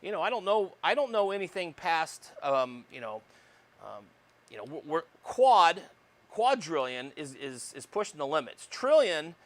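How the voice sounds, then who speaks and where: A man lecturing on electronics with a loud voice that fills the room, pitched a little above the usual male range. He is recorded on a clip-on mic. He keeps checking in with his students as he talks and fills his pauses with lots of ums and uhs. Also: very animated. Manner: loud projecting voice, says he yells; frequent fillers and tag questions. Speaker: college electronics instructor. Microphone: lapel mic.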